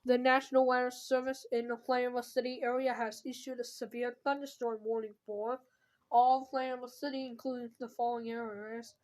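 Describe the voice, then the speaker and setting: A woman's voice: on the high side, little pitch movement, a little fast, a little mellow, poor audio. A woman announcing a scripted emergency weather bulletin, stumbling at times and correcting herself.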